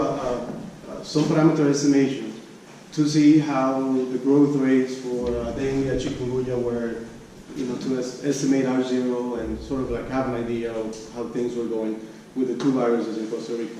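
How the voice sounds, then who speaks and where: A very reverberant, distant recording of a man presenting research at a conference. His voice is soft-edged and mellow. He talks haltingly, often doubling back to restart a phrase, with faint noise around him. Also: mid-range voice, flat delivery. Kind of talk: academic conference talk. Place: conference room. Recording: echoey room, distant mic, background noise.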